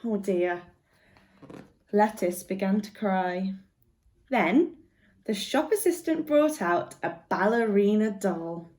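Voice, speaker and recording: A woman guest reader reading a picture book aloud to young children in an expressive storytelling manner. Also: medium pitch, slow, pauses often.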